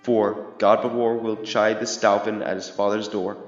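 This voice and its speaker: A man's voice: fairly low pitch, little pitch movement, moderate pace, lightly acted. A proud, resolute young king played by a young man declaims formal Shakespearean verse in an audio drama. His voice rings in a long, echoey reverb with music beneath. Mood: determined. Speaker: amateur student actor. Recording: muffled, echoey hall reverb under music.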